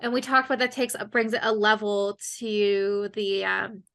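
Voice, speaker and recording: A woman's voice: medium pitch, flat delivery, normal speed, creaky, a bit bright. A woman hosting a casual interview on a video call, chatty and rambling with plenty of fillers. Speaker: interviewer, channel host.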